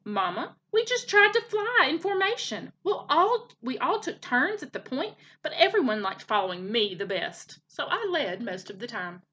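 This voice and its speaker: A woman voicing an excited young male gosling who eagerly boasts to his mother in a children's storybook. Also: high voice, normal speed, few pauses, lo-fi sound, pitched above the performer's own voice, heavily acted. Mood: excited, proud.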